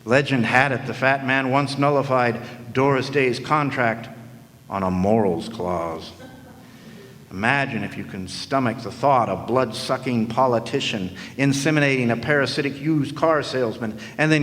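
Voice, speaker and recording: A man's voice: mid-range voice, normal speed, fairly bright tone, close to an everyday voice. A man playing a wisecracking, world-weary private eye in old-time radio noir style, narrating with sardonic slang. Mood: jaded, sardonic. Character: wisecracking, world-weary hard-boiled male private detective. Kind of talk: 1940s radio noir acting, first-person narration. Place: live stage performance in a hall. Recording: echoey hall, background noise.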